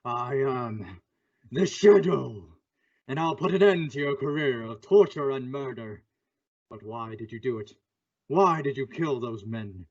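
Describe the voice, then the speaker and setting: A man's voice: mid-range voice, animated, unhurried, muffled recording. A man playing a resolute crime-fighting avenger in old-time radio drama style, with a dramatic, authoritative delivery. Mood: stern. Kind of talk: 1940s radio drama acting.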